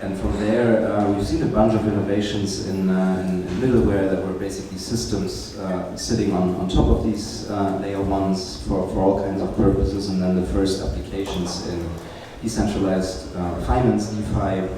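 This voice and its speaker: A male venture investor talking on a panel in a measured way, filling pauses with "um". His voice sits lowish. It is heard from a distance in a very echoey hall, with a clear hum of ambient noise behind. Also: flat delivery. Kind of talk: casual panel talk. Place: conference panel stage.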